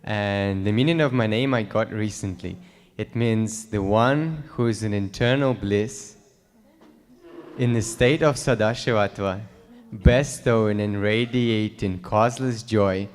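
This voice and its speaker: Young man, low resonant voice, earnest and halting, heard in a reverberant hall. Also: clear, resonant tone. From Russia. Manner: halting, many fillers, sincere. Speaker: guest, new monk. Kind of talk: earnest personal account.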